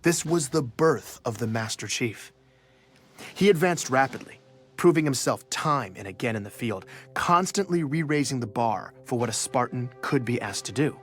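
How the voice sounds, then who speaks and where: A man's voice: medium pitch, expressive, moderate pace, fairly bright tone, faint music behind, lightly acted. An actor playing an earnest, easily awed male journalist who narrates his story in a scripted audio drama. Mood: earnest, reverent.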